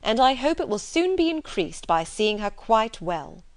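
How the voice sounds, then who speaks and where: Woman doing a nice modest young gentleman from an old novel, earnest and polite, lots of up and down. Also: fairly high pitch, big pitch swings, a little slow, pitched above the performer's own voice. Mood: kindly, self-deprecating. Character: amiable, good-natured young gentleman, gallant and modest. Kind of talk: audiobook character dialogue from a period novel.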